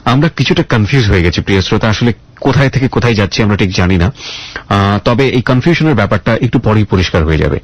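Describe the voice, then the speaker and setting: Bangladeshi guy hosting radio interview, raspy voice, repeats stuff back as questions, dry dull sound. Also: fairly low pitch, expressive, fast, no room sound. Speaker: radio show host and interviewer. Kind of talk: probing interview with narration to listeners.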